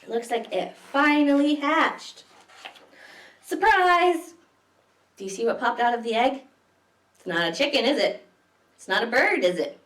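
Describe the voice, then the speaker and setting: A woman's voice: sits high, animated, measured pace, long pauses, a bit bright. A woman reading picture books aloud to young children as a library story time host, expressively, with questions to her listeners. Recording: echoey room, distant microphone.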